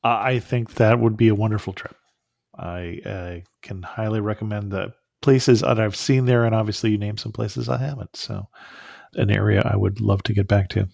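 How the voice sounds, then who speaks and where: A man hosting a travel podcast, talking in a relaxed, easygoing way and affirming his guest. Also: fairly low pitch, normal speed, gravelly, dry recording, studio quality. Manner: relaxed, easygoing, brief affirmations and light laughs. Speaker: podcast host. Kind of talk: conversational travel interview.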